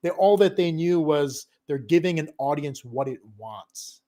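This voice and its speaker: A middle-aged man explains online business on a live stream, loud and emphatic, always checking in with "okay?" and "right?". His voice sits a bit high and swings widely as he drives each point home. He laughs often and talks like he is teaching. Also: lively intonation, unhurried. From Filipino family. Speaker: guest co-host, digital marketing consultant.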